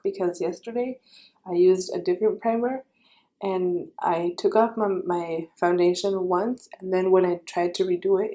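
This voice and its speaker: Young female beauty vlogger, raspy, warm low-brightness tone, casual chatty tutorial delivery. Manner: chatty, self-correcting, friendly advice.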